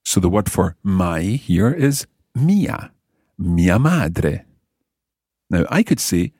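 A man hosting a spoken language lesson, prompting a learner and pausing for listeners in a slow, patient way. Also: low-pitched, darkish tone, husky, dry recording, clean studio sound. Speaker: language course host.

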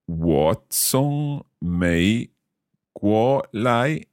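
Scottish male host, low voice with lots of pitch movement, careful repeating, dry close recording. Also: animated, darkish tone, smooth, no echo. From Scotland. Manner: repeats phrases slowly and carefully. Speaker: podcast language learner host. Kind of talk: language lesson podcast.

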